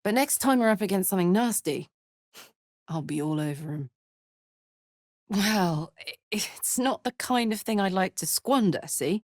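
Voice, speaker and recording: Battle-hardened, big-hearted tiefling warrior woman for a video game, played by an actress; blunt, sweary, unhurried, dry studio sound. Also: medium pitch, lively intonation, a little mellow, no echo, natural voice. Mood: earnest. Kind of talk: video game character voice lines.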